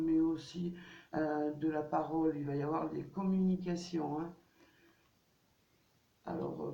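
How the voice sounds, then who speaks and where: A woman's voice: fairly low pitch, creaky, mellow. A woman with a cold giving a casual tarot card reading, hesitant and full of fillers and restarts. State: has a cold. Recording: distant, dull, roomy home recording.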